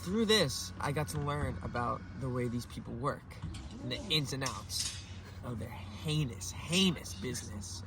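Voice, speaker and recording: A young man playing a gravelly former child soldier. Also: mid-range voice, animated, measured pace, background noise. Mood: earnest. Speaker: mock interviewee. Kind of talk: acted mock news interview.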